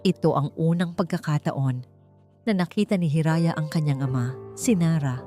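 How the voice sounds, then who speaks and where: A Filipino woman narrates an audiobook story in a fairly low voice. She reads from a script, with music playing softly behind her. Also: normal speed. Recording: music bed under the voice. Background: background music.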